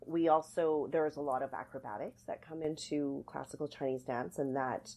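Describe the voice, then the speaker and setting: Very low, husky-voiced woman. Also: moderate pace, a little mellow. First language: English. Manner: thoughtful, searching for words. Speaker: podcast guest, dance academy artistic director. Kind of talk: conversational explaining in an interview.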